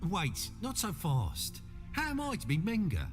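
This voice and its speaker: An actor playing an anxious, hesitant shapeshifter in a video game, fretful and full of questions. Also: male voice, high-pitched, huge pitch swings, unhurried, heavily acted. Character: anxious, hesitant male shapeshifter, meek and excitable. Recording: reverberant, with music behind.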